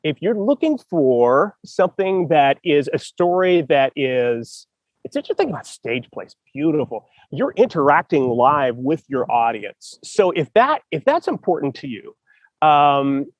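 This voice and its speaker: A man's voice: fairly high pitch, very animated, a little slow, no room sound. A man hosting a casual chat about writing, talking with enthusiasm and thinking aloud with many restarts. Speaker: podcast host.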